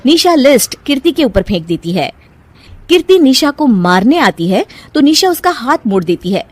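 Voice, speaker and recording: A woman's voice: high-pitched, big pitch swings, moderate pace, a little hiss or hum. A woman narrating a scripted story as its storyteller.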